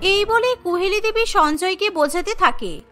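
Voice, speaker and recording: A woman's voice: extremely high pitch, moderate pace, a bit bright, soft background music. A woman narrating a scripted Bengali cartoon story.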